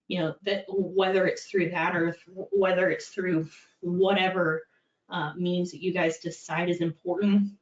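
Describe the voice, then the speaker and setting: A middle-aged woman in a compliance role giving a candid, practical talk, with many fillers. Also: lowish voice. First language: English. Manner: conversational, practical, with frequent fillers. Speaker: compliance executive presenting. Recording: far-off echoey dull-sounding room.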